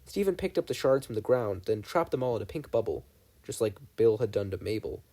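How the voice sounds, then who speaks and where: A man's voice: on the low side, very animated, a little fast, warm tone. A man reading fan fiction aloud as a scripted narration. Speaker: podfic reader.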